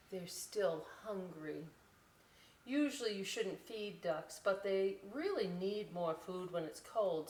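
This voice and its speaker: Slow-paced woman reading to children, roomy echo. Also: fairly low pitch. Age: middle-aged. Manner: folksy, chatty, explains words simply for young children. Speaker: library storytime host reading aloud. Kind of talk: children's picture-book read-aloud with casual asides. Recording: roomy echo, distant microphone.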